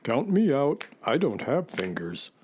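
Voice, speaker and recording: A man playing a dry, low-key nonhuman companion in a children's radio play. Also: big pitch swings, measured pace, darkish tone, slight background noise. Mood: deadpan. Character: low-voiced, mellow, dry nonhuman companion without fingers.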